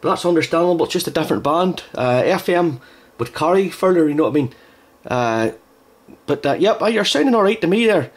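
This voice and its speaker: Higher-voiced man chatting on amateur radio. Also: animated, fairly quick. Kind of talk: casual two-way radio chat.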